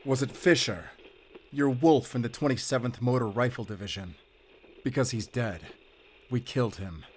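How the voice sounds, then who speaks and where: An actor playing a weary but determined, defiant werewolf hunter in a cinematic audio drama, speaking in an earnest, measured, tense way. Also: male voice, medium pitch, close to an everyday voice. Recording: noisy outdoor night ambience.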